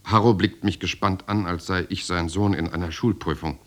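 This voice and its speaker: An actor playing a German writer who recounts his years in the resistance in a measured, reflective way. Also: low voice, steady tone, natural voice. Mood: sober, reflective. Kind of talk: first-person radio drama narration.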